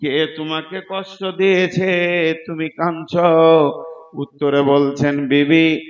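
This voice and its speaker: A man's voice: on the high side, flat delivery. A man preaching an Islamic sermon, telling religious stories emphatically, drawing out words and slipping into a half-sung chant. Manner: slow, emphatic, half-sung delivery with drawn-out words and repeated phrases. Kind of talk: Islamic sermon with chanted Quran recitation and dramatic storytelling. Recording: dull, lo-fi sermon recording.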